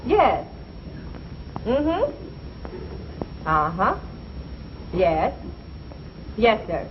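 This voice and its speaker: An actress playing a polite, efficient receptionist in a 1950s comedy. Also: female voice, medium pitch, huge pitch swings, very slow, full character voice. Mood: busy, polite. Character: polite, efficient, unhurried female office receptionist. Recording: dull noisy 1950s broadcast, loud audience.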